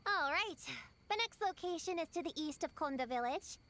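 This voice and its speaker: A woman's voice: extremely high pitch, expressive, moderate pace, a bit bright, slight background noise. Bossy know-it-all little witch. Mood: earnest. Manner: earnest, bossy, lecturing. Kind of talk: game voice acting.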